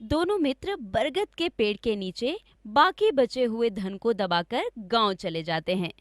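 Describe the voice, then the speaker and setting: A woman's voice: on the high side, lively intonation, unhurried, dry recording, clean studio sound. A woman narrating a scripted story for children as a storyteller.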